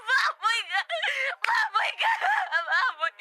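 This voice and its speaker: A woman's voice: very high voice, wildly swooping pitch, slow pace, pushed and bright, raised voice, heavily acted. A woman with a Filipino accent playing a hurt, hot-tempered young girlfriend in a melodramatic Tagalog radio drama. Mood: anguished rage. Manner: melodramatic, emphatic, repeats phrases.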